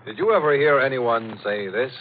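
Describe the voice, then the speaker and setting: A man's voice: medium pitch, lively intonation, normal speed, faint music behind. A man reading a scripted 1940s radio commercial as its announcer. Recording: muffled, noisy old radio recording.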